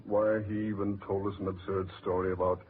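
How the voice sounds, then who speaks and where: A lowish male British voice from an actor playing a suave, scoffing gentleman. His manner is dry and sardonic, in the style of 1940s radio acting. The recording is tinny with faint noise. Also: level tone, fairly quick, lightly acted.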